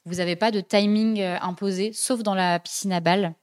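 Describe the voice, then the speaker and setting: A woman's voice: medium pitch, fairly even intonation, brisk. A young woman hosting a casual podcast, talking chattily with many hesitations and fillers. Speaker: podcast host.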